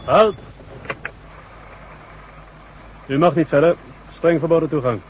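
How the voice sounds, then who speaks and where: Fairly high male voice, brisk military sergeant played by an actor, radio play, muffled, faint noise. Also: natural voice. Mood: stern, officious. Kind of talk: radio drama acting. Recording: dull radio recording, faint background noise.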